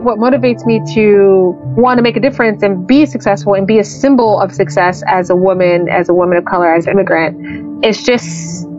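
A woman's voice: medium pitch, a little fast. A young woman from Liberia, a festival founder, talking casually in an interview with lots of "like" and "you know". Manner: relaxed, chatty, uses "like" and "you know". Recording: slightly dull lo-fi sound with faint music.

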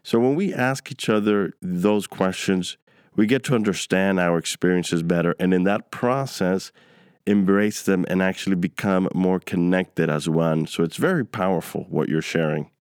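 Man, interviewer from New York, low smooth voice, dry close sound, affirming, deliberate. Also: a little mellow, no echo. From New York, United States. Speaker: interviewer, host. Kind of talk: conversational interview.

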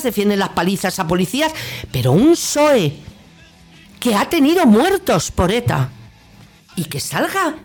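A woman with a Spanish accent from Spain delivering an indignant, blunt opinion monologue as a political commentary host, in a combative manner. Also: medium pitch.

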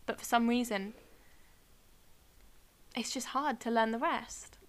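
Young woman chatting, lively, laughs a lot, bit high voice. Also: slow. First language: Arabic. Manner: animated storyteller, laughs often. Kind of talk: casual chat.

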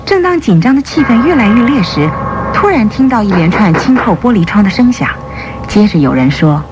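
A woman's voice: mid-range voice, big pitch swings, a little fast, highs cut off. A woman narrating a radio drama in a dramatic, scripted, literary storytelling style. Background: prominent music.

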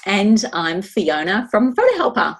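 A woman's voice: medium pitch, sing-song, unhurried, breathy edge. A woman in her fifties with an Australian accent, co-hosting a podcast in a casual, conversational style. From Melbourne, Australia. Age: 50s. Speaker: podcast host.

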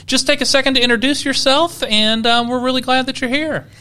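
A man's voice: very high voice, fairly even intonation, brisk, sharp tone, clear, resonant tone. A man hosting a podcast, chatty and upbeat as he welcomes and questions his guest. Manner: upbeat, chatty with some restarts. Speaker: podcast host.

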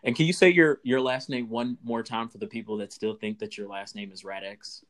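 A man co-hosts a podcast with a playful, slangy way of talking. His voice sits at a middling pitch for a man, relaxed and conversational. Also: a little fast. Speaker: podcast co-host, videographer. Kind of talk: casual podcast hosting.

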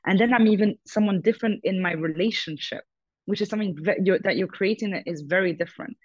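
A woman speaks frankly as a guest in an interview, pressing her points with firm emphasis. Her voice sits at a middle pitch. The recording is dry and a bit dull, with no room sound. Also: fast, no room sound. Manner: frank and emphatic, repeats "totally" and "hundred percent". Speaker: guest, businesswoman. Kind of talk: casual interview conversation.

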